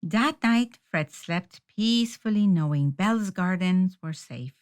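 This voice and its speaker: A woman reading a children's picture book aloud in an expressive, deliberate storytelling manner. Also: lowish voice.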